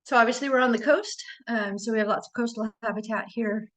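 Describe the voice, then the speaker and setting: Woman presenting bird slides, voice going, clears her throat a lot, lots of ums, chatty and keen. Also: fairly high pitch, a little fast. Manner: enthusiastic, chatty. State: losing her voice. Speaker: presenter of a birding slide talk.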